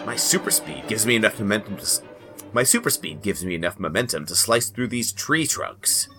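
A man's voice: fairly low pitch, expressive, brisk, bright, forceful tone, soft background music. A man co-hosting a comedy dramatic reading, acting out lines and bantering between them. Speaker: podcast co-host reading character parts.

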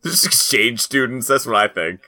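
A man's voice: medium pitch, expressive, moderate pace, sharp tone. A man co-hosting a comedy podcast, bantering casually and jokingly. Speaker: podcast co-host.

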